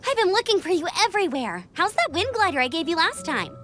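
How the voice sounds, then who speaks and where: A woman's voice: very high voice, big pitch swings, quick pace, fairly bright tone, full character voice. A woman voicing an eager, slightly bossy young knight scout who chats playfully about rules in game dialogue. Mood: upbeat. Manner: upbeat, chatty, rule-quoting but playful. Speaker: gliding examiner. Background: game music.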